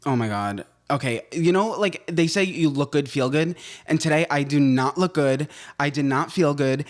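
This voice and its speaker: A man's voice: on the high side, moderate pace. Ranting man co-hosting a chat podcast. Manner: ranting, animated storyteller. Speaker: podcast co-host. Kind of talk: casual chat. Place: home podcast set.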